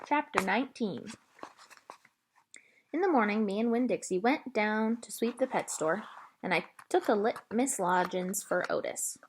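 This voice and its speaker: A woman narrating a children's book aloud in an expressive read-aloud style. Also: mid-range voice, unhurried, a little hiss or hum, low-quality recording.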